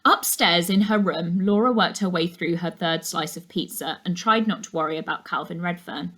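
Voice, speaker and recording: A woman reads a children's novel aloud like a teacher to a class, in a measured, expressive storytelling manner. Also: fairly low pitch.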